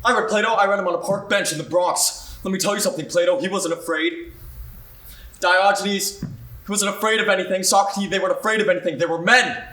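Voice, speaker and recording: An actor performing a monologue as a defiant young male student, proud and hurt, confrontational and building to shouts. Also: high voice, brisk. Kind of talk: dramatic monologue. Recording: roomy sound, mic far off.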